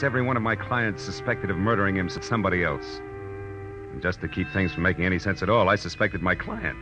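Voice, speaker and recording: Man playing a deep-voiced hard-boiled radio private eye. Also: natural voice. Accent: American. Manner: dry, wisecracking, slangy. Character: hard-boiled, wisecracking male private detective. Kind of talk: 1940s radio crime drama acting and narration. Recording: dull old radio recording with music.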